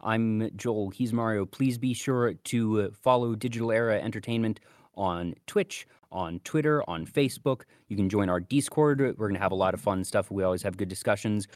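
A man's voice: on the low side, normal speed, no echo, studio quality. A man co-hosting a podcast, talking casually about fandom in long, detailed explanatory asides. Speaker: podcast co-host.

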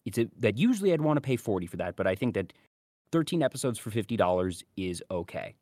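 A man's voice: on the low side, big pitch swings, fast talker, darkish tone, dry recording, studio quality. A man co-hosting a casual podcast discussion, talking in an opinionated, argumentative way. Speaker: co-host.

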